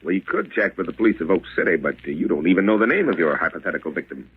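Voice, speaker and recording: A skeptical police lieutenant in a vintage radio play. The voice is very low and husky, brisk and dismissive, through a muffled old recording. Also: male voice, expressive. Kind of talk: 1940s radio drama acting.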